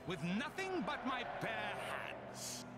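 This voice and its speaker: A man's voice: extremely high pitch, sing-song, takes it slowly, exaggerated voice. Pompous, wildly swooping villain king. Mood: boastful. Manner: theatrical, grandiose. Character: pompous, scheming self-crowned villain king. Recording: loud music under the voice.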